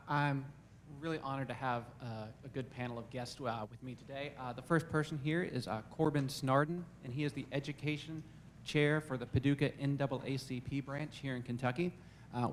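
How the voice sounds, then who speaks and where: Dean running a panel talk, halting, repeats himself, bit of background hum. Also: male voice, medium pitch. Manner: hesitant, many "uh"s and repeated words. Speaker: panel moderator, college dean. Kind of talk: moderating a panel discussion. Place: campus event room.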